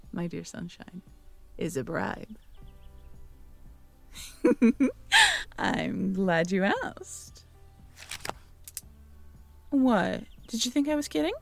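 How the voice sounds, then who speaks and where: A woman acting a caring, teasing, curious young girlfriend in an intimate roleplay audio drama, talking softly and conversationally to the listener. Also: mid-range voice, expressive, extremely slow, creaky, pauses often, soft background music, full character voice. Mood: playful teasing. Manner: soft, intimate, conversational, playful.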